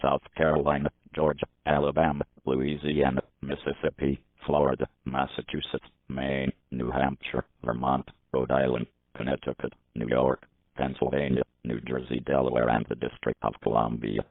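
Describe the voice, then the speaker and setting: A man's voice: very deep voice, level tone, normal speed, long pauses, no echo. A man reading a scripted emergency broadcast message in a formal, impersonal manner, over narrow-band audio. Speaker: emergency alert announcer.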